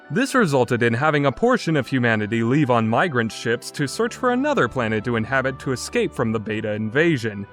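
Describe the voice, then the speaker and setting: A man's voice: mid-range voice, a bit bright. A man narrating a scripted review as a critic, blunt, exasperated and opinionated in manner. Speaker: game reviewer.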